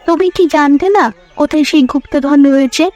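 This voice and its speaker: Woman voicing an anxious high-pitched village wife. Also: steady tone, fairly quick, darkish tone, soft background music, close to an everyday voice. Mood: anxious, questioning. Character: earnest, anxious young village wife seeking guidance. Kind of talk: cartoon fairy tale voice acting.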